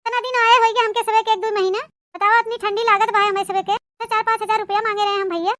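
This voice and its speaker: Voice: very high-pitched, level tone, fast talker, sharp tone. A cartoon voice playing plaintive village women of a squabbling family, with exclamatory chatter in an Awadhi accent from eastern Uttar Pradesh. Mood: complaining. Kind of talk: cartoon comedy dialogue.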